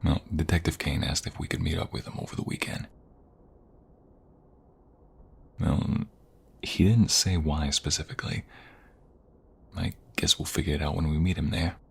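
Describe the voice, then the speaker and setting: A man playing a protective, tender husband in an ASMR roleplay, speaking softly and intimately, often near a whisper. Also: very deep voice, gravelly, slightly rough, exaggerated voice. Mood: tender, calm. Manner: soft, intimate, reassuring, often dropping to a whisper.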